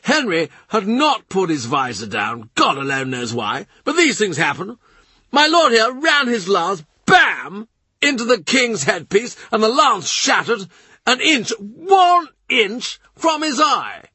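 A man's voice: high voice, takes it slowly, slightly rough, no echo, voice pushed up, exaggerated voice. A frantic, blustering old nobleman. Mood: agitated, hectoring. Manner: excitable, lecturing, emphatic. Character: blustering panicky nobleman, older man. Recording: dry close recording.

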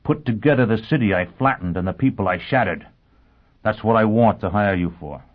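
A man's voice: fairly low pitch, lively intonation, fairly quick, pitched above the performer's own voice. A man voicing a grim, bitter criminal tormented by conscience in an audiobook, speaking with grave intensity. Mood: agitated, intense. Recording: narrow phone-like sound.

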